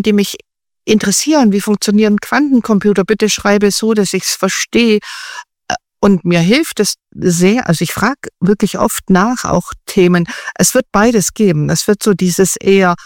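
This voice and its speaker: Chatty interview guest with a creaky voice. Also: mid-range voice, dry recording, clean studio sound. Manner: chatty, thinking aloud with many fillers. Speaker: guest expert on AI. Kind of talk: radio interview answers.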